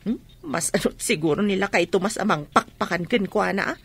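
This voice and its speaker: A woman's voice: mid-range voice, fairly quick, gravelly, breathy edge. A woman playing a nosy, gossipy neighborhood storekeeper in an Ilocano radio drama, with a prying, exclamatory manner.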